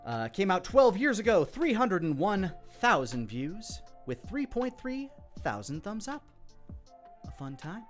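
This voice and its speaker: A man's voice: high-pitched, big pitch swings, a little slow, faint music behind. A man giving casual, enthusiastic creator commentary on his own parody series, swearing casually.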